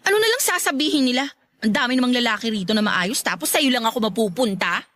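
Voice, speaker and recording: Woman acting haughty young lady in radio drama, scolding, really high bright voice. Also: lively intonation, fast talker, dry recording. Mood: scornful. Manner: scolding, haughty, sharp-tongued. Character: feisty, disdainful young college-graduate woman rebuffing a suitor.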